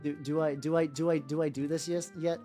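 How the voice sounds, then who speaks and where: A young man with a relatively high voice chatting casually on a livestream while painting miniatures, rambling and often repeating himself. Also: little pitch movement, a little fast. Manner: rambling, repeats words, laughs often, squeals in playful high bits. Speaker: VTuber streamer. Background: soft background music.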